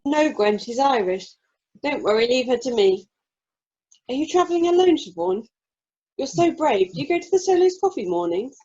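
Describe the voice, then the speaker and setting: A woman's voice: sits high, expressive, normal speed, mellow, husky, lo-fi sound. A woman playing a sweet, earnest newlywed wife in an amateur radio comedy, over online meeting audio. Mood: friendly.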